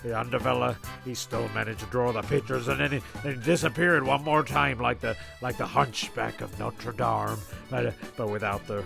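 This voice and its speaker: Gravelly, stumbling male comic narrator. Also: mid-range voice, steady tone, moderate pace, hardly any pauses. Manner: stumbling, repeating phrases, offhand. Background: music.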